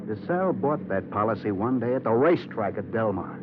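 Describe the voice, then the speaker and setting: An American actor playing a cynical, wisecracking, defensive insurance investigator in a 1950s radio drama, speaking loosely and repeating himself for emphasis. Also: male voice, fairly low pitch, expressive, a little fast, muffled recording, lightly acted. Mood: amused, cynical. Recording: very noisy, thin old radio recording.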